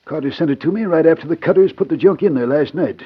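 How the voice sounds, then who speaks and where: Curt, husky crime boss. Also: male voice, fairly high pitch, fast. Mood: stern. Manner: curt, authoritative, formal. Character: respectable businessman secretly a wary crime boss. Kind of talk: 1940s radio acting. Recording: muffled old radio drama recording.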